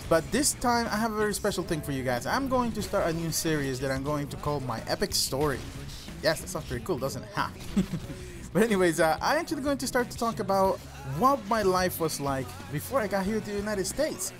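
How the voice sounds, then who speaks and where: A man's voice: sits high, animated, brisk, music in the background. A young man from El Salvador, a native Spanish speaker with a Salvadoran Spanish accent, hosts a gaming channel, telling personal stories casually and earnestly to his listeners. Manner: earnest, addresses listeners as "guys". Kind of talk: casual personal storytelling over gameplay commentary.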